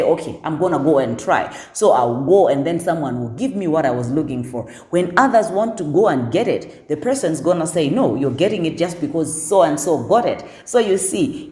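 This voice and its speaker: A woman with a deep voice, talking assertively in a casual podcast conversation, repeating words for emphasis. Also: dark tone, reverberant. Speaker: guest.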